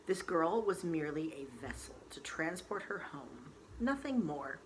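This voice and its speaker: A woman storyteller reading a novel aloud in expressive, dramatic narration with deliberate emphasis. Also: low voice, rough recording. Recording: faint background noise.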